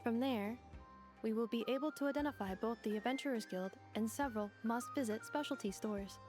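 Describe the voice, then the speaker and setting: A woman voicing a polite, precise female robot guide in a video game, speaking in a formal, measured, analytical manner. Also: fairly high pitch, close to an everyday voice. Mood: calmly informative. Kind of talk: video game character dialogue. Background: game music.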